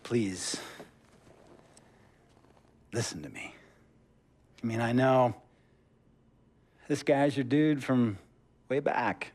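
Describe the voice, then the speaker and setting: An actor playing a wealthy, domineering basketball team owner in a video game cutscene, theatrical and emphatic. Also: male voice, mid-range voice, animated, darkish tone, heavily acted. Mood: pleading. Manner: theatrical, emphatic, drawn-out repetition.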